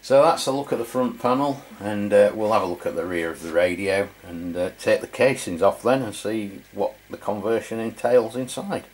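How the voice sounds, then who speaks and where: A man's voice: fairly low pitch, normal speed, few pauses, slightly rough, poor audio. A middle-aged man giving a casual, matter-of-fact hands-on product review. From England. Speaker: radio review channel host.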